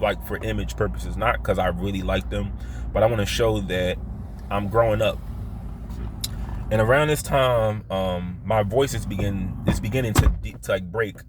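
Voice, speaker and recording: A man with a Philadelphia accent telling personal stories casually, halting and often circling back to repeat himself. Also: on the low side, slight background noise. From Philadelphia, United States. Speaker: vlogger telling his life story. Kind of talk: casual rambling personal storytelling.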